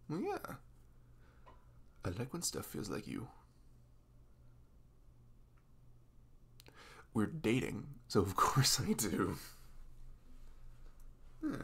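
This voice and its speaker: Male actor as goofy, sweet young boyfriend for intimate ASMR roleplay; gravelly, very animated delivery, halting and reassuring. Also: lowish voice, heavily acted. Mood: tender, goofy. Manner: halting, reassuring, casual, with soft chuckles. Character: sweet, goofy, self-conscious young boyfriend in new romance.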